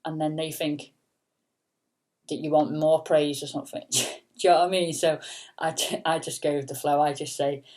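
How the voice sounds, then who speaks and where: A woman with a notably low, soft voice talks in a loose, meandering way. She fills her sentences with "um" and "you know" and drops in a casual swear word now and then. It sounds like an unscripted late-night vlog. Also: little pitch movement, moderate pace. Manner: chatty, full of fillers and casual swearing. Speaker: singer and vlogger. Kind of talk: rambling late-night chat.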